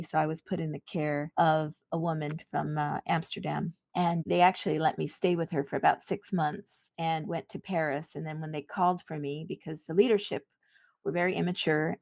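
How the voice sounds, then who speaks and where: Low-voiced woman recalling her childhood. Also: creaky, no room sound. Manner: reflective, earnest, steady storytelling. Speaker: podcast guest. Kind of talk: personal interview recollection.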